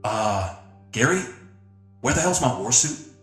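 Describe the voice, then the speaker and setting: An indignant, blunt vigilante hero in a sci-fi game. His medium-pitched voice moves up and down a lot. It rings with echo over faint music. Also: very animated, unhurried, a bit bright. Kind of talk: game cutscene dialogue.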